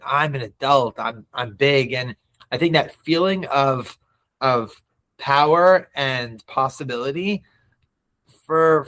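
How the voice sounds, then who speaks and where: A man in his thirties who grew up between the US and Israel, a podcast guest telling stories in a reflective, halting way. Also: mid-range voice, a bit bright. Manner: reflective, many restarts and fillers. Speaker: guest, nonprofit CEO. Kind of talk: interview.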